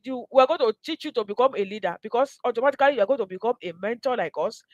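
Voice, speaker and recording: Nigerian lady doing a live sales pitch, earnest, pushes you along, repeats herself, dry sound. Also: expressive, very fast, no echo. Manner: earnest, exhortatory, repeats phrases for emphasis. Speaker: host, mentor. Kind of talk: promotional live pitch to viewers.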